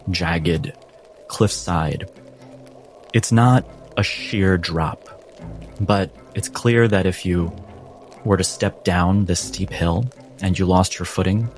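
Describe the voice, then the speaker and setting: A low-voiced man acts as game master, setting scenes slowly and dramatically. He leaves deliberate pauses between phrases, and music plays clearly underneath. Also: darkish tone. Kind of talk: slow, atmospheric improvised narration of a tabletop game.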